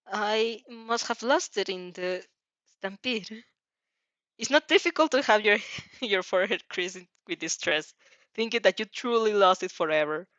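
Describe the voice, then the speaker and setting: A woman with a mid-pitched voice reads a story aloud in an expressive, informal way. She stumbles over sentences and breaks off to comment as herself. The sound is dry and a little dull. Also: dry recording. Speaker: fan fiction reader reacting as she reads. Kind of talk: animated story read-aloud with casual reactions. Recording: slightly dull, dry recording.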